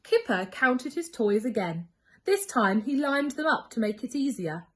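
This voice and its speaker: A woman's voice: fairly high pitch, big pitch swings, a little slow. A woman reading a children's story expressively as a school storyteller, leaning on key words for young listeners.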